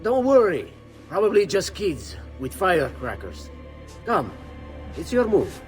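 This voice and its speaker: A man's voice: sits high, wildly swooping pitch, unhurried, faint music behind, full character voice. An actor voicing a treacherous male traitor in a video game, smug and sneering. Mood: reassuring. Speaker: game character.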